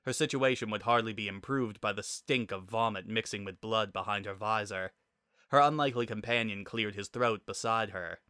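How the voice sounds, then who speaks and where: A man reads a dramatic story as its narrator. His voice is fairly low with a bright, forceful edge, and the recording is dry and close. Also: fairly even intonation, moderate pace, clear, resonant tone, no room sound.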